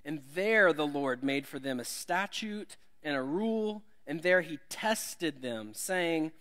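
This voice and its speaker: A man's voice: on the high side, expressive, slow, fairly bright tone. A man from Alabama, preaching a sermon as a visiting preacher in a church. He speaks in a friendly, self-deprecating way and lifts his voice when he reads scripture. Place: church sanctuary.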